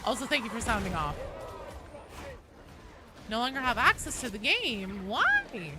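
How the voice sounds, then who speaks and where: The woman you'd hear hosting a busy late-night game stream, chatting to viewers in expressive swoops over clear background noise. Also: on the high side, normal speed. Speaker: live streamer, host. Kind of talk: casual livestream chat while gaming, reading and answering viewers.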